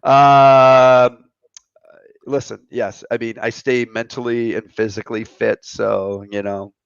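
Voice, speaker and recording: A man's voice: mid-range voice, little pitch movement, slow, gravelly, clear, resonant tone, no echo. A man in his fifties hosting a live online show, chatty and joking with viewers. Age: 50s. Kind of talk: casual live-stream chat. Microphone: computer mic on a live video stream. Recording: dry, slightly dull stream audio.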